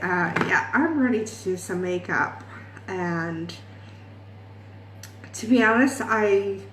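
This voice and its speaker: A woman's voice: medium pitch, roomy sound, slight background noise. A woman in her late twenties from Ireland, chatting in a rambling, self-deprecating way in a makeup vlog. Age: 20s. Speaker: beauty vlogger.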